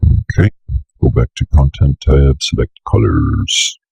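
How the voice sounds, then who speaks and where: A man's voice: very deep voice, steady tone, a little slow, a little mellow, husky, no echo, dull audio. A young man giving a casual screen-share tutorial, rambling and thinking aloud as he works. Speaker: tutorial presenter. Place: indoors at a computer desk.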